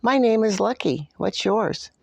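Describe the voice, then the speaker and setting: A woman's voice: medium pitch, animated, a little slow, creaky, breathy edge, no room sound, voice pushed up, full character voice. A woman voicing a friendly, talkative female dog from a storybook, cheerful, apologetic and eager to please. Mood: cheerful, chatty. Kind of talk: storybook character voice.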